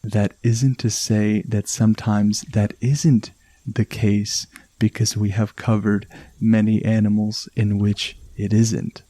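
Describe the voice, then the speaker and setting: Slow, soothing Canadian man. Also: lowish voice, no echo, rough recording. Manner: soft, slow and soothing, calm and unhurried. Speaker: podcast host. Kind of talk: slow relaxation podcast narration.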